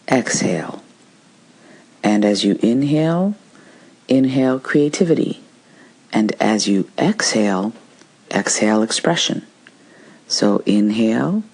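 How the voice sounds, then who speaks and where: A woman speaks as the host of a solo podcast. Her voice is low and husky, and she explains her advice in a reflective, conversational manner. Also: darkish tone, low-quality recording.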